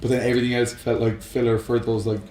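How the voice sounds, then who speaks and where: A man's voice: mid-range voice, flat delivery, fast talker, darkish tone. A man co-hosting a casual, rambling podcast chat, giving his opinions. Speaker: podcast co-host. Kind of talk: casual chat about video game films. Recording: roomy echo, music underneath.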